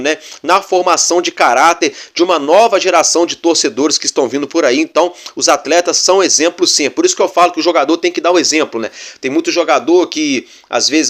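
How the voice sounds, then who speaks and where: A Brazilian man hosting a fan channel, giving casual football commentary in a chatty way full of fillers. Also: a little high. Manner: chatty, repeats fillers like "né" and "galera".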